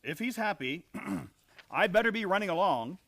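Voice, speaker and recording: An actor playing a polite, mild, bewildered minister in an old-time radio comedy, courteous and hesitant. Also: male voice, sits high, lively intonation, normal speed, vocal fry, sharp tone, exaggerated voice. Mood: courteous, puzzled.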